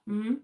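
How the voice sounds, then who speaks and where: Woman from Kenya, live Q&A host, calm and unhurried, post-cold voice, phone audio in an echoey room. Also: on the high side. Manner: calm, slow, laughs often, many fillers. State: recovering from a bad cold. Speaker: livestream host. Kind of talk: casual live Q&A chat. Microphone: phone held to camera.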